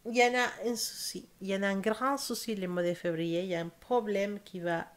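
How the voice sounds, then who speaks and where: A young woman from South America whose first language is Spanish speaks French with a Spanish accent, reading tarot slowly and carefully, with pauses and self-corrections. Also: mid-range voice, steady tone. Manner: slow careful speech with hesitations and self-corrections. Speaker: tarot reader. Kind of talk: casual tarot reading to camera.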